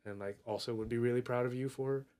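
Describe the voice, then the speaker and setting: A man's voice: medium pitch, steady tone, quick pace, dark tone, smooth. A man co-hosting a cycling podcast, easygoing and slangy in casual chat. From grew up in a Filipino family in the US. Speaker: podcast co-host.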